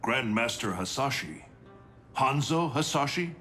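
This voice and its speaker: A man playing a solemn, noble leader in a video game, speaking gravely and formally. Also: medium pitch, lively intonation, a little slow, pushed and bright, soft background music. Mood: grave, urgent. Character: solemn, noble male lord and war leader. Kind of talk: video game cutscene acting.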